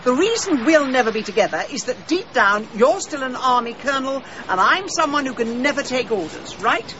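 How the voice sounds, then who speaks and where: An actress playing a headstrong, prickly, impatient amateur sleuth in a radio drama, speaking in a brisk, wry, assertive British voice. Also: female voice, sits high, animated, lo-fi sound, background noise. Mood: irritated.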